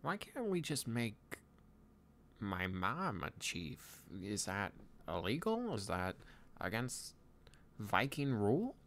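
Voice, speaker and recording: A man playing a tender, wistful young Viking dragon trainer in a soft-spoken ASMR boyfriend roleplay, speaking intimately and haltingly. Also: lowish voice, very animated, exaggerated voice. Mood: musing. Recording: clear background ambient noise.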